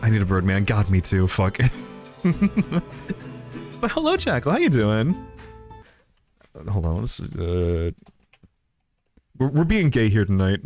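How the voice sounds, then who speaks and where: A man's voice: lowish voice, sing-song, takes it slowly, long pauses, a little mellow, faint music behind. A man hosting a livestream, reading a visual novel aloud and chatting casually about it. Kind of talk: narration and casual livestream chat.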